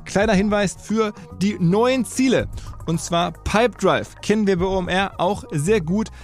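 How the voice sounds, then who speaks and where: A man's voice: high voice, unhurried, faint music behind. A man hosting a podcast in an informal way, often repeating and rephrasing as he goes. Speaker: podcast host. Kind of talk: casual interview and chat.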